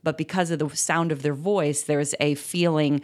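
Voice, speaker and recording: A woman's voice: deep voice, fairly even intonation, moderate pace, darkish tone. A middle-aged woman co-hosting a podcast, explaining ideas earnestly in casual conversation, with fillers and restarts. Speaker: podcast co-host.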